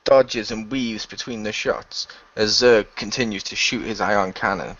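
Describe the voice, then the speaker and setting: A man narrating the action of a homemade radio play in an animated way. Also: fairly low pitch, a little slow, no room sound. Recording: dry, dull lo-fi microphone.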